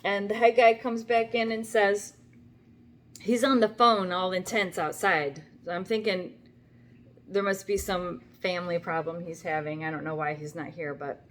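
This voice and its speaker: A woman's voice: on the low side, steady tone, normal speed, slight background noise. An older woman vlogging from her home kitchen, telling a rambling story in a chatty, wry way with plenty of asides. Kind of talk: casual talking-to-camera story.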